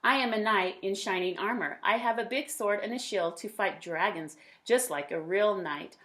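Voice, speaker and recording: A woman's voice: medium pitch, moderate pace, a bit bright, natural voice. A woman voicing an imaginative, boastful little boy from a storybook, declaring his dress-up adventures emphatically. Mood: boastful, excited. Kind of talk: children's storybook character reading.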